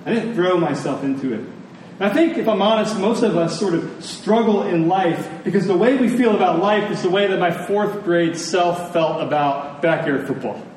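A man preaching a sermon as a pastor, conversational and earnest, with rhetorical questions and emphatic pauses. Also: sits high, a little mellow. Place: large room, preaching to a congregation. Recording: echoey room, distant mic, faint noise.

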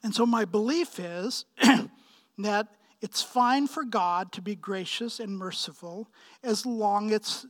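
Man, fifties, from Pakistan, preacher, sermon delivery, slow measured pace, emphatic phrasing, repetition for weight. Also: high voice. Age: 50s. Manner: emphatic, deliberate phrasing with rhetorical questions and repetition. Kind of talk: sermon, expository preaching with personal anecdotes.

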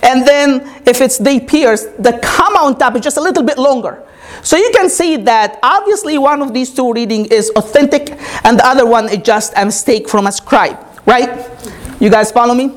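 A woman whose first language is Arabic delivers a teaching sermon. Her voice sits a touch high. She keeps checking in with the congregation as she explains. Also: animated, normal speed. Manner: calls "Amen?" to the congregation, explains step by step. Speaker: pastor.

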